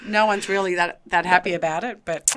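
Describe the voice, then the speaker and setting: A woman's voice: lowish voice, steady tone, fairly quick, a crowd in the background. A woman co-hosting a casual netball chat, chiming in with agreement and questions. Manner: asks questions, chips in with agreement. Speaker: co-host.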